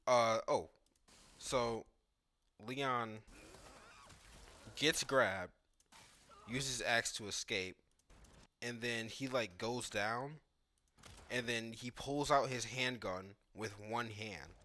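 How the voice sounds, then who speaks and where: A man's voice: medium pitch, extremely slow, pauses often, sharp tone. A man giving casual, analytical commentary on video game footage.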